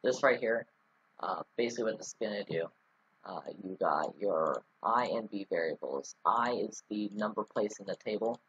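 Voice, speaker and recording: A man's voice: lowish voice, animated, normal speed, a bit bright, slightly rough, muffled recording. A man talking through a casual screen-recorded coding tutorial, rambling with many ums and restarts. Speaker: scripting tutorial host.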